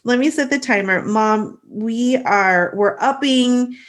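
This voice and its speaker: A woman co-hosting a podcast, talking earnestly and warmly to her mother, with many "you know" fillers. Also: fairly high pitch, takes it slowly. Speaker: podcast co-host. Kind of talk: casual family chat.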